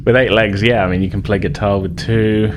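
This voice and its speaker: A man's voice: lowish voice, fairly even intonation, fairly quick. An easygoing, amused man acting as game master, chatting and narrating casually at a tabletop game. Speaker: game master of a tabletop role-playing podcast. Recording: clear background noise.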